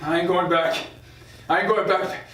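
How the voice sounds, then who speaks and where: A man's voice: sits high, fairly even intonation, normal speed, sharp tone. A man playing a frantic dead patient raving under anesthesia at a script table read. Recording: echoey room, far mic, clear background noise.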